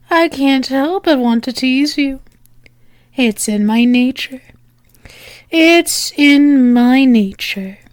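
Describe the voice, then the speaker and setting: A woman playing a flirtatious female demon in an ASMR roleplay, teasing and sultry, breathy and often slipping into a whisper, close to the microphone. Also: high voice, no room sound. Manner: sultry, teasing, drawn-out with lingering pauses.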